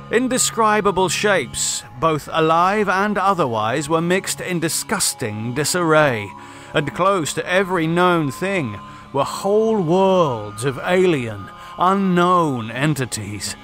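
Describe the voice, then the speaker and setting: A man narrates a horror audiobook with theatrical deliberation. His voice is bright and intense, unhurried, with music faintly behind it. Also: a little high. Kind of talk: dramatic scripted horror narration.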